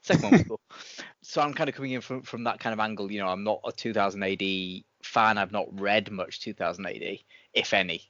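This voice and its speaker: A man's voice: on the low side, a little fast, fairly bright tone, no echo, dull audio. A man co-hosting a podcast review, chatting in an informal, lightly joking manner. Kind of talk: casual chat podcast review.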